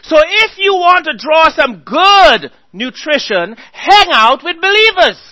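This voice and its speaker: A man preaching a sermon, earnestly exhorting his listeners and reading scripture aloud slowly. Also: extremely high pitch, big pitch swings, sharp tone.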